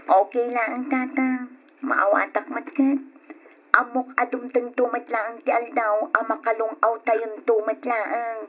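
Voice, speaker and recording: Dutiful young daughter, highish voice. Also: female voice, level tone, a little slow, soft background music. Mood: reassuring. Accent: Ilocano. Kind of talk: old radio drama acting. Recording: muffled lo-fi old radio drama.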